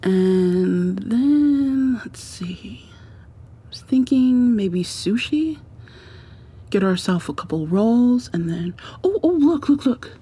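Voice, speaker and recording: A woman playing an affectionate, playfully dominant girlfriend in an intimate ASMR roleplay, murmuring softly and breathily to the listener, often sliding into whispers. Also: expressive, slow, husky, heavily acted. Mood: tender, doting. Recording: audible background hiss with low rumble.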